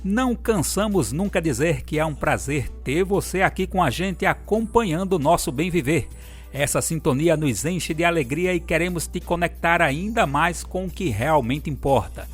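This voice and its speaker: Upbeat announcer over music, husky. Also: male voice, fairly high pitch, lively intonation, normal speed. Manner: upbeat, chatty. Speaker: program announcer. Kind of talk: scripted promo read.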